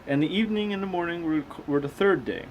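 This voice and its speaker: A man's voice: on the high side, fast, a little hiss or hum, rough recording. A man reading aloud and commenting casually as a host, with a skeptical, questioning manner. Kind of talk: casual commentary between read-aloud passages.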